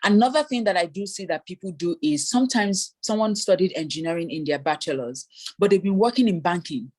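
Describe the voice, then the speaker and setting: A woman's voice: fairly low pitch, animated, fairly quick, a little mellow. A woman immigration consultant giving advice in an interview, explaining step by step and acting out what applicants say. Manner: explanatory, voices hypothetical applicants.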